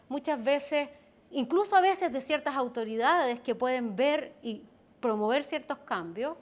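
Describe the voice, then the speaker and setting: A woman with a Chilean accent and a strong voice, speaking on a discussion panel and carefully qualifying her points. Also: high-pitched. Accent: Chilean Spanish. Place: event hall.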